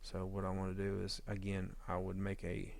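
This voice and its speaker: Low-voiced man, dry close sound, halting walkthrough. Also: completely flat delivery, vocal fry, darkish tone, dry recording. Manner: halting, thinking aloud while working. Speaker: audio drama editor giving a tutorial. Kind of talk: informal software walkthrough.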